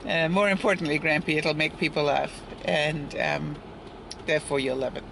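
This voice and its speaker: A woman's voice: low-pitched, vocal fry. A woman, older, talking to viewers in a casual vlog while outdoors by a street in light rain, rambling along with asides and little chuckles. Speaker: daily vlogger. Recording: noisy outdoor street with passing cars. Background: traffic and street ambience.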